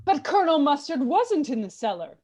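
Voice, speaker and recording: Woman playing an indignant high-pitched society lady. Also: very animated, fairly quick, darkish tone. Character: indignant, flustered society lady accused of murder. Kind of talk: live table read of a film script. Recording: noticeable noise and clicks.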